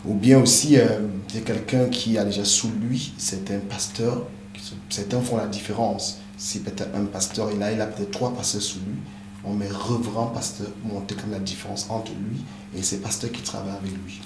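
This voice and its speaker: A man's voice: lowish voice, fairly even intonation, moderate pace. A man who is a pastor and a native English speaker, earnestly explaining things in an interview with many hesitant fillers. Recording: echoey room with background noise.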